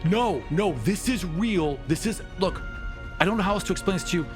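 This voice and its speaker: A man's voice: high voice, brisk, voice pushed up, natural voice. A man playing an overexcited, stammering man in an improvised tabletop game, urgently insisting that magic is real. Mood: urgent pleading. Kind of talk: improvised tabletop roleplay dialogue. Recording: music behind the voice.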